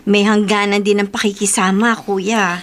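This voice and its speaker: A woman acting an outspoken, skeptical but caring younger sister in a radio drama, with blunt, expressive delivery. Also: medium pitch, moderate pace, close to an everyday voice. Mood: concerned. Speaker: actor.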